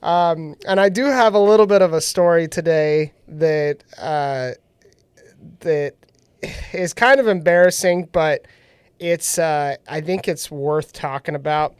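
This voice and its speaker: A man's voice: a little high, a little slow, smooth, dry recording, clean studio sound. A man hosting a casual radio talk show, sounding nasal after being sick, laughing often as he tells a story. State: nasal from recent illness. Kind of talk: casual chat.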